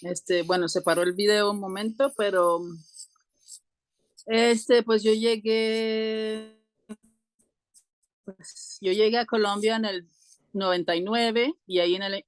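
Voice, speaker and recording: A woman's voice: medium pitch, dry recording. Hesitant woman guest in a dry recording. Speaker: interview guest. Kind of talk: casual personal storytelling.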